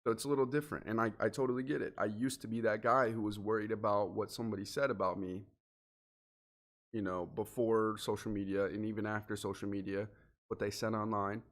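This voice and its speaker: A man's voice: fairly low pitch, little pitch movement, a little fast. A man hosting a solo motivational podcast, talking earnestly and directly to the listener, a little sniffly and congested from allergies. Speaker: podcast host.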